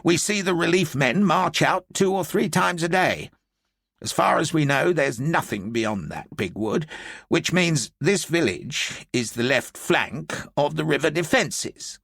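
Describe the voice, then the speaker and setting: A man reading an audiobook, voicing a blunt, irritable middle-aged British general in a gruff, brusque manner. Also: fairly high pitch, lively intonation, measured pace, fairly bright tone, no echo, clean studio sound, voice pushed up, exaggerated voice.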